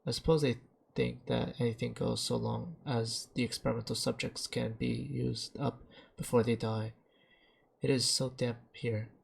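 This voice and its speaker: A man narrating game text aloud in a dramatic, halting way, stumbling over some words as he reads. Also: medium pitch, level tone, creaky, husky.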